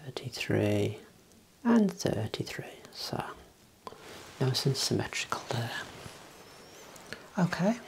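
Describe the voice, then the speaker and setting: Whispering man, slow and hushed ASMR. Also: medium pitch, big pitch swings, gravelly, low-quality recording. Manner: hushed, unhurried, reassuring clinical instructions. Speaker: ASMR performer role-playing an optician. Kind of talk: ASMR roleplay, soft whispering. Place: small indoor room. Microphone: clip-on wireless mic on lapel, close.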